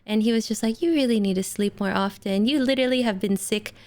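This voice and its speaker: Woman streamer, light Indonesian accent, smooth clear voice, slightly high, chatty and giggly, feeling under the weather. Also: fast, a little mellow. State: unwell, stomach upset. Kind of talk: casual chat with stream viewers.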